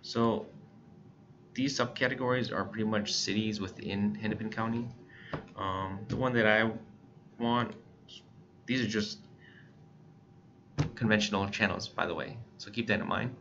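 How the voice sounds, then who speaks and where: An American man giving a casual, plain-spoken step-by-step tutorial as he presents software on screen. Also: fairly low pitch, steady tone, measured pace, pauses often, background noise. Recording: dull lo-fi voice-over recording.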